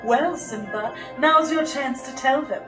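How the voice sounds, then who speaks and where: A woman's voice: sits high, measured pace. A woman voicing a sly, menacing villain lion in a cartoon fan dub, with a mocking, oily, theatrical manner. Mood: sly, taunting. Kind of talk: fan dub of animated film. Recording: roomy playback from a screen, music behind.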